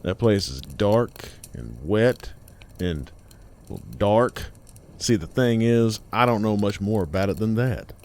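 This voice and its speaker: A man narrating a scary story in a folksy, colloquial way, theatrical and full of dramatic pauses. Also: fairly low pitch, lively intonation, darkish tone.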